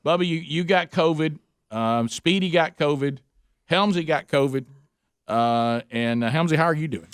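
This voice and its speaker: A man's voice: fairly high pitch, normal speed, smooth, dry recording, clean studio sound. A man hosting a radio talk show, steering the conversation and prompting co-hosts. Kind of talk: casual chat.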